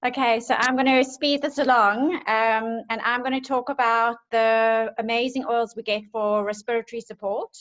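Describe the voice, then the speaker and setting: Chatty woman, flat delivery. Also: fairly high pitch, moderate pace, hardly any pauses, highs cut off. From South Africa. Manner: chatty, enthusiastic, many fillers. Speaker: essential oils presenter. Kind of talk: casual group presentation.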